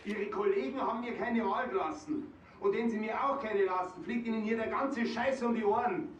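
An actor playing a desperate, stubborn barricaded husband in a TV crime comedy, defiantly protesting from a distance. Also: male voice, high voice, normal speed, highs cut off, a little hiss or hum, lightly acted. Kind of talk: television crime comedy acting. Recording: distant, echoing, off mic.

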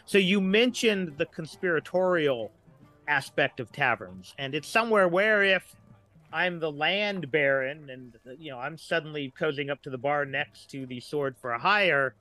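A man's voice: fairly high pitch, lively intonation, unhurried, pushed and bright, soft background music. A middle-aged man talking casually as a writer guest on a podcast.

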